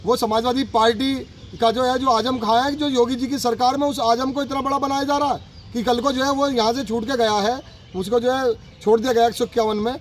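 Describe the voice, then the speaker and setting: A man's voice: very high voice, steady tone, fairly quick. A man giving an aggrieved, insistent, repetitive statement as an activist leader. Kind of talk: spoken press statement. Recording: faint ambient background noise.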